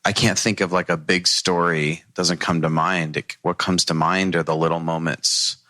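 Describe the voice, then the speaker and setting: A man's voice: low voice, pushed and bright, no echo. A man speaking as a panel guest, earnest and reflective as he talks about his own experience. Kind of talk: reflective podcast discussion.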